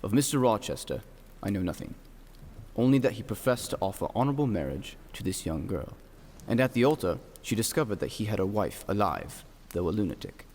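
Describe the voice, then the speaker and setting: An actor playing a reserved, stern young clergyman in an audio drama, speaking formally with dry, measured delivery. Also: lowish voice, big pitch swings, a little mellow. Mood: cool, deliberate. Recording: long hall-like reverb.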